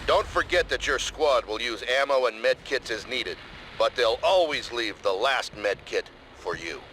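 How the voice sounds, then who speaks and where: An actor playing a stern military commander in a video game, giving formal, measured and authoritative mission briefings. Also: male voice, medium pitch, animated, background noise, lightly acted. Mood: brisk, instructive. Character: stern male military commander briefing a special forces soldier. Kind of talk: scripted game cutscene acting and mission guidance.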